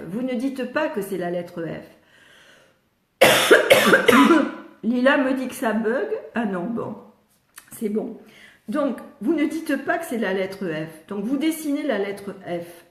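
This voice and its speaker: An older woman, a retired speech therapist, explaining reading to parents in a chatty live question-and-answer session, slightly congested with a cold. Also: on the high side. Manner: chatty, explanatory, addresses viewers by name. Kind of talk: live Q&A teaching. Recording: roomy echo.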